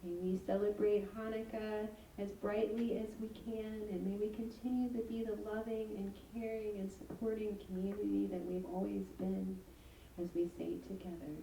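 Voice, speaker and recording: A woman's voice: medium pitch, little pitch movement, moderate pace, dark tone. A woman leading a congregation, giving announcements and a closing prayer in an earnest way with many ums. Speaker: service leader. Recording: echoey room, far from mic, faint hiss.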